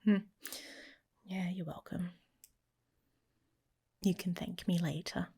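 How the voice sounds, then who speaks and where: A woman voicing a protective, teasing werewolf mate in an intimate ASMR romance roleplay, speaking softly and casually, often slipping into a whisper. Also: lowish voice, fairly even intonation, takes it slowly, vocal fry, husky, exaggerated voice. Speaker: audio roleplay performer.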